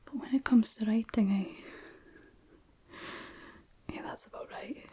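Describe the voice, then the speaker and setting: A woman softly whispering a beginner Chinese lesson as a study buddy, pronouncing each word slowly and carefully on a close mic. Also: on the high side, breathy edge, no echo. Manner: soft whisper, slow careful pronunciation. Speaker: language tutor, study buddy.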